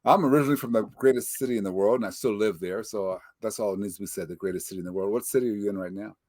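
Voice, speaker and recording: A man's voice: lowish voice, rapid-fire, darkish tone, no echo, studio quality. An older man hosting a casual interview over a video call, chatty and joking. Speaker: interview host. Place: home office in Denver, Colorado.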